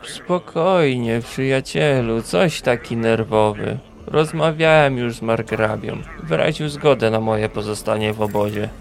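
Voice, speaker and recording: An actor playing a calm, wry male traveller in a fantasy audio drama. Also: mid-range voice, a little slow, gravelly, hardly any pauses.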